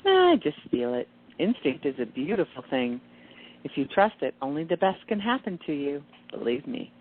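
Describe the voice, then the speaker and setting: A woman playing a serene, knowing angel guide in a radio play, speaking with confident, measured emphasis. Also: low voice, very animated. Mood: assured. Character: serene, knowing female angel guide in kittenish human guise. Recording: tinny, band-limited phone-like sound.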